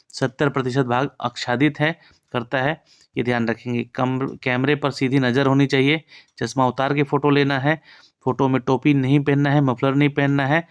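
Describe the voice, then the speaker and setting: A man's voice: medium pitch, smooth. A man giving an informal, instructive walk-through of an official notice, often reminding listeners what to note. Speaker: presenter of a job exam information channel.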